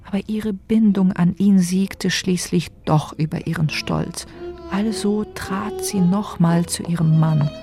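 A woman's voice: fairly low pitch, a little slow, mellow, soft background music. A woman reading scripted literary narration as the narrator of a radio drama.

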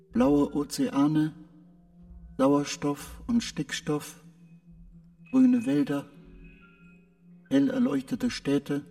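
Radio drama role: gentle artificial intelligence, higher-set male voice, expressive intonation, earnest and deliberate, music underneath. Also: creaky, heavily acted. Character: gentle, pensive male spaceship computer facing shutdown.

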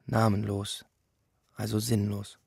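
A husky, creaky cynic as a camp doctor in a radio drama. He stays calm and almost respectable while he mocks, each phrase measured and composed. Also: on the low side, no echo, clean studio sound, full character voice. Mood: calm mockery. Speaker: actor and reader in a radio play. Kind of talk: scripted dramatic reading.

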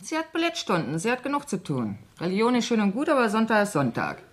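Defensive mother, very lively intonation. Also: mid-range voice, quick pace. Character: defensive, chatty working-class mother, easily flattered. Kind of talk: German radio crime drama acting.

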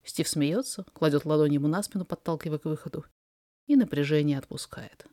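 A narrator reading a fiction story aloud in an expressive, dramatized way. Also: medium pitch, a little fast, dry recording, clean studio sound. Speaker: podfic reader, narrator.

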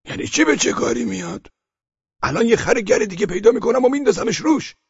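A raspy old village peasant. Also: male voice, extremely high pitch, expressive, dry recording, highs cut off, pitched above the performer's own voice, heavily acted. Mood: stubborn. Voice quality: raspy, gravelly. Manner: haggling, wheedling, insistent. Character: stubborn, cunning old male village peasant.

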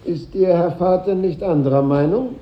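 An actor playing a formal schoolmaster who gently lectures his class in a 1950s radio play, with a didactic manner. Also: high-pitched, normal speed, natural voice. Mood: schoolmasterly, dry. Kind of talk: 1950s radio drama acting. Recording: muffled echoey old radio recording.

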